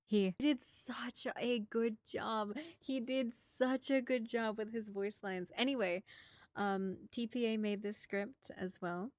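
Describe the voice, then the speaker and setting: A woman's voice: mid-range voice, no room sound. A woman voice actor chatting casually to her listeners in an informal way. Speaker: voice actor and channel creator.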